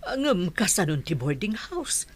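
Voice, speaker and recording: Casting: concerned wife, raspy voice with big pitch swings, Ilocano accent, radio play. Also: female voice, very animated, measured pace, exaggerated voice. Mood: anxious. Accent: Ilocano Filipino. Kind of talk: radio drama acting.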